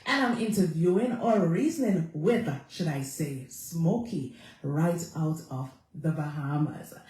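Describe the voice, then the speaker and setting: A man hosting a relaxed radio interview, chatty and quick to laugh, repeating his guest's answers back. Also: on the high side. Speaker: radio show host. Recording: echoey room, distant from the mic.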